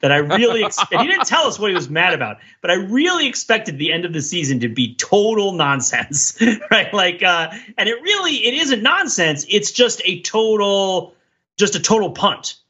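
A man with a higher-pitched, very lively voice chats as a podcast panelist. He is emphatic, slipping into imagined quotes and chuckling mid-sentence. The sound is slightly dull and lo-fi. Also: normal speed, breathy edge. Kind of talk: casual TV show discussion.

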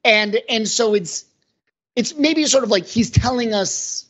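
A man's voice: extremely high pitch, a little slow, fairly bright tone, breathy edge. A man speaking as a podcast panelist, giving conversational analysis in an earnest, explanatory manner. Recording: dull, lo-fi audio.

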